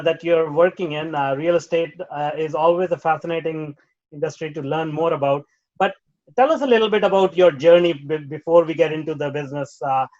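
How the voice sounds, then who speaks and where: A man's voice: fairly high pitch, muffled recording. A man hosting a podcast, reading a scripted introduction and then asking questions with frequent fillers. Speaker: host.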